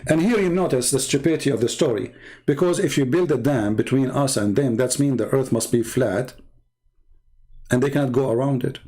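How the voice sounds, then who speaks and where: A man's voice: mid-range voice, a little fast, dark tone, breathy edge. A man who speaks English as a second language, hosting a mocking commentary on religious texts, sarcastic and emphatic, with rhetorical questions and repeated words. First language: not English. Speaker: host of a religious debate show.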